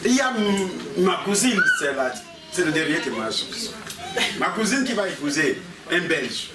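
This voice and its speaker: Echoey Cameroonian male preacher, fairly high-pitched. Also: very animated, moderate pace, over a noisy crowd. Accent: Cameroonian French. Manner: repeats phrases and calls for amens from the congregation. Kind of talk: animated sermon with personal anecdotes. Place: church meeting hall with a congregation. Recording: echoey hall, distant mic, congregation noise.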